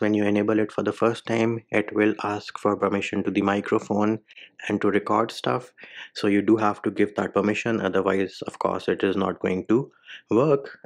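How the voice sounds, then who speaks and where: Low-pitched, even-toned man giving a tutorial. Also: creaky. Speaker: tech tutorial video host. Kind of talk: step-by-step phone tutorial voice-over.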